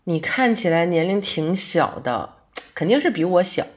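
A woman's voice: on the low side, sing-song, normal speed. A woman acting a friendly, reflective working woman, a young adult theatre fan, in conversational, naturalistic audio drama dialogue. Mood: friendly, curious.

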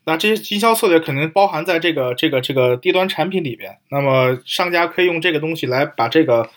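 A male podcast co-host with a fairly high voice and a quick pace. He reasons aloud in casual analysis, repeating words as he goes. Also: animated, fairly bright tone.

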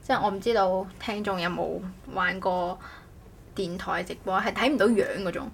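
A woman's voice: mid-range voice, moderate pace, gravelly, slight background noise. A woman chatting casually as a podcast host, rambling and often correcting herself. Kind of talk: casual chat.

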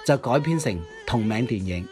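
A man's voice: mid-range voice, lively intonation, moderate pace, a little mellow. A man with a Cantonese accent co-hosting a music history show in a conversational, explanatory way, often checking in with questions. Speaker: radio DJ and co-host.

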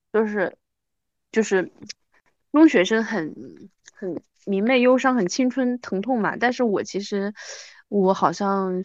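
A woman's voice: mid-range voice, darkish tone, dry recording, highs cut off. A woman in her thirties chatting casually as a podcast host, self-deprecating and often repeating her words for emphasis. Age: 30s. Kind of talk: casual chat between old friends.

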